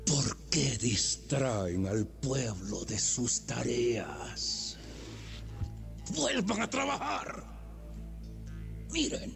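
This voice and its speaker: A man's voice: mid-range voice, creaky, exaggerated voice. An actor playing an arrogant, mocking, tyrannical Egyptian pharaoh in a theatrical audio Bible drama, drawing out his words with sudden outbursts. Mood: menacing reproach. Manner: theatrical, sneering, drawn-out delivery with sudden outbursts.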